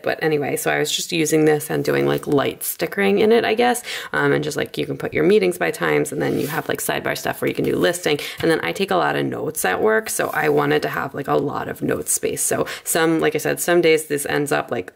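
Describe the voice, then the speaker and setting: A woman chatting informally through a casual craft flip-through in a rambling way, slightly hoarse from a cold. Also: deep voice. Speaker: craft video creator.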